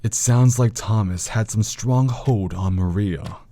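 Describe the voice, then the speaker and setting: Very deep-voiced grave scholar played by a man. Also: unhurried, warm tone, no room sound, lightly acted. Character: learned older male doctor, grave occult scholar. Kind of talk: audio horror drama acting.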